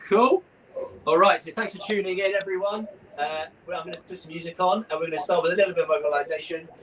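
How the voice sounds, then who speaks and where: Man, workshop leader, upbeat coaching, slightly raised pitch, distant mic, noisy recording. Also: fairly even intonation, quick pace. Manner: upbeat, encouraging. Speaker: practitioner leading a warm-up class. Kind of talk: live exercise instruction. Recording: noisy room sound, far from mic.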